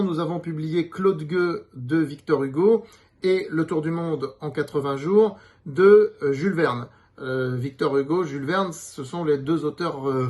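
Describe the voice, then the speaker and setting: A man giving an unscripted promotional presentation as a publisher's representative, explaining things in a hesitant way. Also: fairly high pitch.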